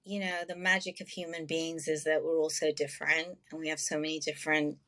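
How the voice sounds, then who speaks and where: A woman, a guest answering interview questions. Her answers run on in long, flowing sentences, thoughtful and searching. Her voice sits a little lower than most. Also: little pitch movement, a little fast, a bit bright. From London, England. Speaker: wellness practitioner, guest.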